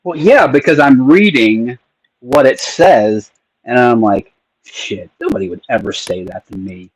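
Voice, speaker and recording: A man's voice: medium pitch, measured pace, dry recording, rough recording. A man co-hosting a livestream, chatting casually, playful and jokey. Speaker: livestream co-host. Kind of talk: casual chat.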